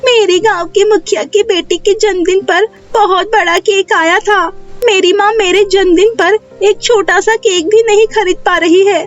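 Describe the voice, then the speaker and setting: An earnest poor little girl from a moral storybook acted by a woman, with a very high, childlike voice. Music plays softly behind and the sound is a bit dull. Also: steady tone, unhurried. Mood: plaintive.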